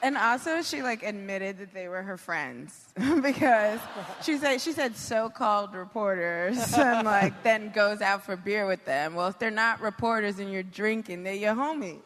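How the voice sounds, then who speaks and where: A woman's voice: mid-range voice, unhurried, long reverb tail, noisy background. A female comedian in casual panel chat, blunt and slangy.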